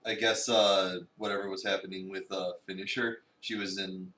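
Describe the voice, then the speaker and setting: A man's voice: sits low, flat delivery, moderate pace, sharp tone. A man co-hosting a stream, chatting casually. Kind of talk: casual chat. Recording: echoey room, distant mic, noisy.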